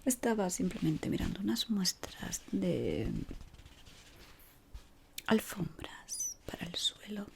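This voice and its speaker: A woman doing an ASMR roleplay in a breathy whisper, playing a polite but exacting decorator of an eerie office maze. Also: medium pitch, big pitch swings. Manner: slow, deliberate, pausing, softly spoken.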